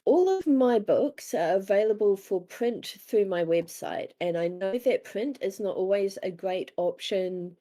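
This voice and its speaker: A woman's voice: mid-range voice, a little slow, few pauses, mellow. A woman with a New Zealand accent talking casually as an interviewed author, candid and self-deprecating, acting out bits of dialogue as she tells stories.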